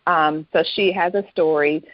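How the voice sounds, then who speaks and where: Woman guest explaining her work casually, kinda low voice, muffled lo-fi sound. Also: steady tone, moderate pace, no room sound. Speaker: guest author. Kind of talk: casual interview talk.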